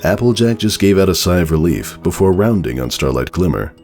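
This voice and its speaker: A man's voice: sits low, big pitch swings, fairly quick, a little mellow. A man narrating a story as a dramatic, scripted reading. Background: background music.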